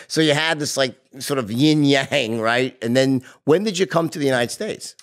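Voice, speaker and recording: A man's voice: medium pitch, normal speed. A man in his fifties hosting a podcast interview in a studio, curious and blunt, swearing and laughing easily. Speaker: podcast host. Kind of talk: casual interview. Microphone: studio mic with headphones.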